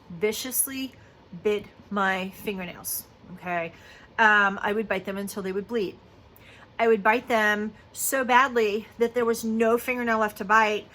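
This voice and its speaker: A woman in her fifties talks straight to the camera in a bright, forward voice, chatty and confiding, her speech full of fillers. A faint hiss of background noise sits under her voice. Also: mid-range voice. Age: 50s. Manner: chatty and confiding, many fillers like "you know" and "um". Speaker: lactation consultant. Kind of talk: casual personal vlog talk.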